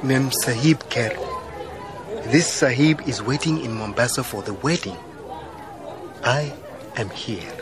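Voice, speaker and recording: An actor in a radio drama playing a courtly, composed Somali man, husky, speaking in a terse, formal manner. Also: medium pitch, a little slow, long pauses, crowd noise, natural voice. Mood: courteous, reserved.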